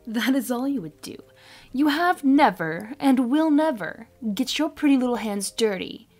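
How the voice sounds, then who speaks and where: An actress playing a jailed crime boss, vengeful and taunting, in an audio drama. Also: female voice, fairly high pitch, expressive, darkish tone, soft background music. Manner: taunting, deliberate.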